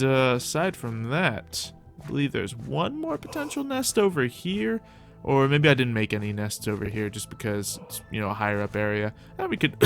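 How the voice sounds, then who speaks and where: A young man hosting a modded game roleplay, chatting casually as he plays and talks to his pet dragon, with soft music behind him. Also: medium pitch. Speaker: roleplay gameplay host. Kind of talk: casual gameplay roleplay commentary.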